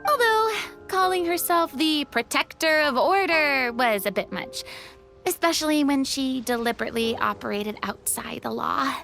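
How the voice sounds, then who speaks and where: A woman voicing a chatty, good-natured game character with an expressive, casual manner. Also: high voice, moderate pace, creaky, heavily acted. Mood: breezy. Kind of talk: video game voice acting. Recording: music behind the voice.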